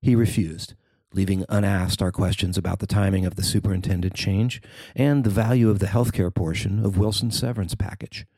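A man who works as a newspaper reporter, reading his own article as scripted narration in a measured, formal way. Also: low-pitched, fairly even intonation, dark tone, slightly rough, no echo.

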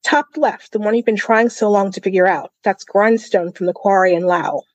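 A cool, clever male court fool, played by a woman reading aloud, taunts with wry amusement. The voice sits at a medium woman's pitch with a dry sound, in storybook style. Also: moderate pace, no echo, lo-fi sound, lightly acted. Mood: taunting. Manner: wry, mocking.

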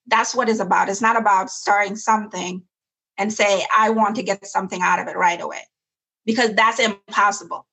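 A woman's voice: medium pitch, steady tone, fairly quick, gravelly, sharp tone, breathy edge, low-quality recording. A woman talks in an interview about the nonprofit she founded. She speaks earnestly and reflectively, often restarting a phrase before she goes on. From South Sudan. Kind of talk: interview conversation.

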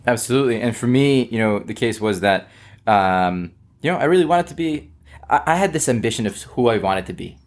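A man's voice: medium pitch, animated, fairly quick. A young man from Israel, a native Hebrew speaker, telling his personal story earnestly as an interview guest, with many fillers. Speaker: guest, company founder. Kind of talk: casual interview.

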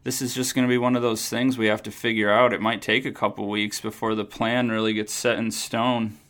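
A man's voice: fairly low pitch, flat delivery, a little fast, a bit bright. An American man chatting casually and swearing a little, laid-back and encouraging. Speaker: co-host, golf buddy. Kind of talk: casual chat, profane.

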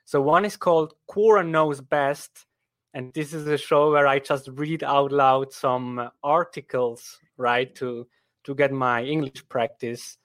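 Slow, halting Swiss German man. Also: medium pitch, steady tone, fairly bright tone. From Switzerland. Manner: halting, with frequent restarts and "right?" tags. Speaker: podcast guest. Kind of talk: casual interview chat.

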